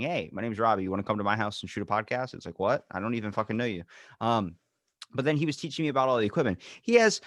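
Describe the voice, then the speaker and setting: A man's voice: lowish voice, fairly bright tone, no room sound, clean studio sound. A man hosting a podcast, talking casually and loosely with frequent swearing. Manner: loose, profane, ranting. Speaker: podcast host. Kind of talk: casual conversation.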